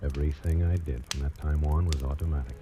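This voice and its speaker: An actor playing a tense, desperate man who narrates his own murder plot in an old radio thriller, halting and suspenseful. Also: rumbling low, fairly even intonation. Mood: dazed, tense. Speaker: first-person narrator and lead actor. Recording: old radio recording, loud dramatic music.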